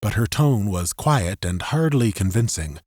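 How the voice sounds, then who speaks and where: A man narrates a story in a dramatic, scripted audiobook style. His pace is slow and his intonation moves expressively. The sound is very dry, with no room around the voice. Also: fairly low pitch, expressive, darkish tone, dry recording.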